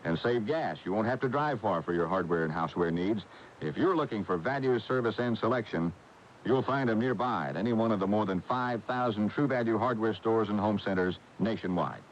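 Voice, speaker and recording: A man with an American accent announces a scripted commercial in a somewhat low voice. The sound is a dull vintage AM broadcast with slight noise. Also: brisk. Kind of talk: scripted radio advertisement. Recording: muffled, hissy AM radio broadcast tape.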